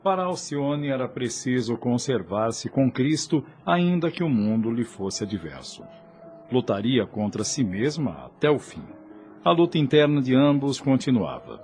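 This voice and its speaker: A man's voice: mid-range voice, big pitch swings, unhurried, darkish tone, faint music behind. A man narrating a dramatized story as a scripted narrator.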